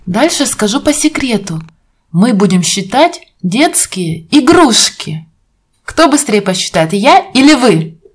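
A woman presents a counting lesson for small children. Her voice is on the high side and swings widely in pitch, and she speaks slowly. She sounds like an encouraging teacher, turning to her young viewers with questions. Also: sing-song, breathy edge. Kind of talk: educational narration for young children.

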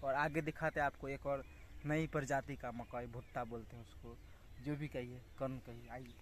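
A man's voice: medium pitch, fairly even intonation, normal speed. A young man from Bihar with a Bihari accent, casually narrating a farm tour for a vlog in a repetitive, explanatory way, pointing things out. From Bihar, India. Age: young adult. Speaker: vlogger. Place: open farm fields outdoors. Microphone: handheld phone. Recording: outdoor phone recording with clear background noise. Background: outdoor rural ambience.

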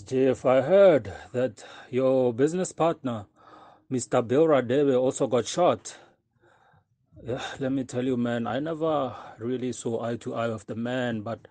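Effusive, concerned office man played by a male actor, mellow mid-pitched voice, emphatic, dry recording. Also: dry recording. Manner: effusive, emphatic, repeats himself. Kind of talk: audio drama acting.

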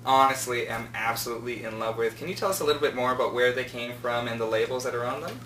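A man's voice: medium pitch, fast, fairly bright tone. A man in his twenties casually presenting and interviewing a guest. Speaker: interview host. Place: small office, indoors. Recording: roomy, distant mic, faint noise.

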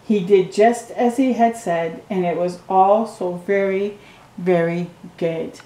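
Middle-aged woman storytime reader, slow and mellow, reading a picture book at home, roomy echo. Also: mid-range voice. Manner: slow, gentle reading with excited asides to the viewer. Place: living room at home.

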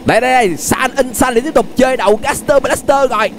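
A young man with a southern Vietnamese accent giving excited, exclamatory gameplay commentary, often repeating phrases as he reacts. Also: very high-pitched, fast talker, a little hiss or hum. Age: young adult. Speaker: gaming YouTuber, host. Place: indoors at a gaming setup. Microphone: desk microphone close to the face.

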